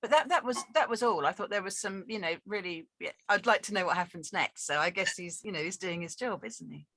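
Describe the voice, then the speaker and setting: A woman's voice: mid-range voice, fairly quick, pushed and bright, a little hiss or hum. A woman talking casually as she gives feedback on a script as one of its readers.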